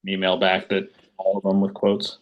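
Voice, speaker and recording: A man's voice: low voice, monotone, moderate pace, lo-fi sound. A man taking part in a casual work conversation, asking questions and musing.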